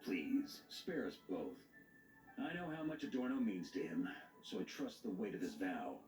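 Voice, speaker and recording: An actor playing a stern knight grandmaster in a video game, measured and authoritative with a dry wit. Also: male voice, low voice, very animated, a little mellow, reverberant, far from the mic, soft background music, low-quality recording. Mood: wry. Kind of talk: dramatic video game voice acting.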